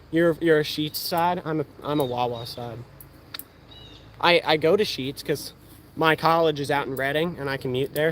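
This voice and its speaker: A man's voice: a little high, fairly bright tone. An eighteen-year-old man with a Pennsylvania accent, chatting casually on a livestream and answering viewer questions while he shoots archery outdoors. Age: young adult. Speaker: outdoors channel host. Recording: faint outdoor background noise.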